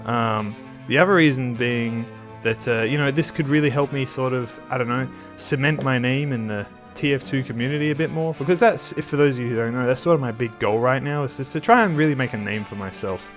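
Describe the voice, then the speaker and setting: A man's voice: medium pitch, fairly quick, few pauses, faint music behind. A man hosting his art channel in casual talk over a speed drawing, a bit nasal and under the weather, rambling with many restarts. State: slightly sick.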